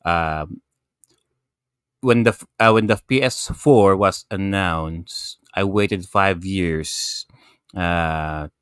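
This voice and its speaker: A man giving casual panel commentary on a gaming show in an emphatic, halting way. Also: low voice, fairly bright tone, clear, resonant tone, no room sound, studio quality.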